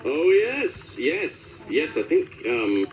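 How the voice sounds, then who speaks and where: A man's voice: a little high, big pitch swings, slow, soft background music, rough recording. A man chatting casually as a voice actor being interviewed.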